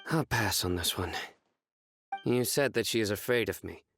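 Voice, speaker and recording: An actor playing a stern, authoritative warrior in a video game, terse, blunt and serious. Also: male voice, lowish voice, moderate pace, a bit bright, a little hiss or hum. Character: stern, authoritative male warrior with fierce presence.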